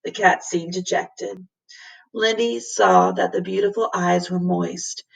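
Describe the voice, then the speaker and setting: A woman reading a children's storybook aloud as a teacher would to a class, in expressive narration. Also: on the low side, takes it slowly, gravelly, slightly rough, recorded from a distance, low-quality recording.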